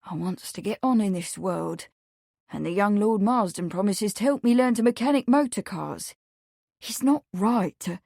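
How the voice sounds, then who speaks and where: A woman's voice: mid-range voice, animated, moderate pace, gravelly, a little mellow, dry recording, studio quality. A woman narrator voicing an earnest, awkward young working-class Englishman who is devoted to his sweetheart in a storybook. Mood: unhappy. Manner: muttering, earnest and emphatic.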